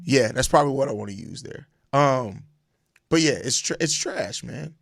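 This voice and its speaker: Hoarse american guy doing a solo sports stream, raspy n gravelly, slangy, repeats himself, dry close sound. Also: mid-range voice, animated, moderate pace, no room sound. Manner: slangy, emphatic, repeats phrases. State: hoarse, voice going out from overuse. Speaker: solo sports podcast host. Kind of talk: casual live-stream sports chat answering viewer comments.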